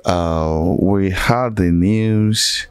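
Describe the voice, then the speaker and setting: A man's voice: deep voice, very slow, clean tone, no echo, studio quality. A Nigerian man in his thirties asking interview questions in a hesitant, deferential manner. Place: small furnished room indoors, on a sofa. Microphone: handheld interview microphone.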